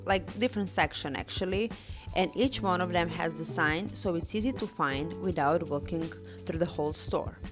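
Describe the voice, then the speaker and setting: A young woman with a fairly low voice narrates a vlog voice-over in careful non-native English, slipping now and then on grammar and filling gaps with "uh" and "like". Faint music plays under her voice. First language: not English; Swedish is her third language. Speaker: vlogger. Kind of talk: first-person voice-over of a store walkthrough.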